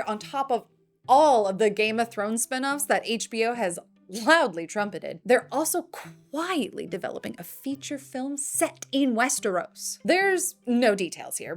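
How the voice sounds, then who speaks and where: Higher-voiced woman hosting entertainment news. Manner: wry, opinionated. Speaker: host of a film news show. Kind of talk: scripted entertainment news commentary.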